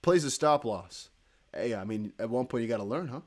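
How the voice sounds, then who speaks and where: Lively Dutch-accented man chatting on stream. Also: medium pitch. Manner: chatty, animated, reads viewer comments aloud with quick laughs. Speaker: crypto livestream host. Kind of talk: casual livestream chat reading comments.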